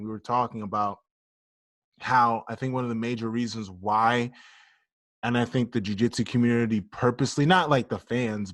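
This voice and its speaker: A man's voice: medium pitch, fairly bright tone, dry recording. A man co-hosting a casual podcast, talking bluntly and jokingly and swearing freely. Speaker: podcast co-host.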